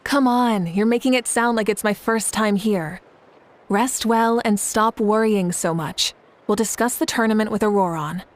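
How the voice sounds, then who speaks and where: An actress playing a confident, composed female leader in a fantasy video game, wry and measured. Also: mid-range voice, close to an everyday voice. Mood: reassuring. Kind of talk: video game character acting.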